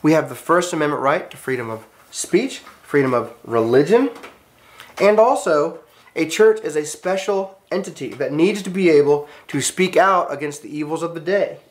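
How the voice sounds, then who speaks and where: A man's voice: a little high, normal speed. An American man teaching a class, giving a storytelling lecture in an earnest, emphatic manner with short declarative sentences.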